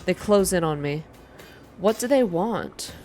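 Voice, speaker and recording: A woman's voice: on the low side, animated, unhurried, a little mellow, soft background music. A woman hosting a reading channel, speaking in an ASMR style for her listeners. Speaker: video game reader and channel host. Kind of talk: ASMR narrated reading and casual updates to viewers.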